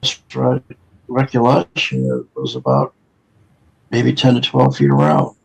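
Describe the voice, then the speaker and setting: A man with a deep, gravelly voice, recalling a memory haltingly while under hypnotic regression. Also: sing-song, poor audio. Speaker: regression subject.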